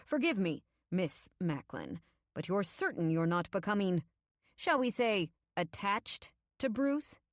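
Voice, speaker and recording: A woman's voice: mid-range voice, big pitch swings, unhurried, dry recording. An icy, smug male villain played by a woman narrator for an audiobook. He speaks with clipped formality and ominous courtesy, in an accent that is not quite British, recorded close and dry. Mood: stern, insinuating. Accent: ambiguous, not quite British. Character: stern, menacing tall husband, icy and smugly sinister. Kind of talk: audiobook character acting.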